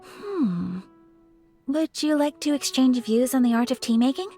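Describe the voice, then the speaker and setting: A woman voicing a refined, gentle young noblewoman in a video game, speaking with formal courtesy and shy affection. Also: high-pitched, very animated, measured pace, faint music behind, heavily acted. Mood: thoughtful, refined. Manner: formal, polite, softly hesitant phrasing. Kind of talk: scripted video game character voice acting.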